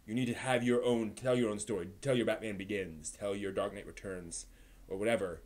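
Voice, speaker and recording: A man's voice: fairly low pitch, steady tone, brisk, darkish tone. A man hosting a live stream, talking off the cuff in a discursive, opinionated way, often repeating words as he thinks aloud. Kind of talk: unscripted opinionated chat about books and films.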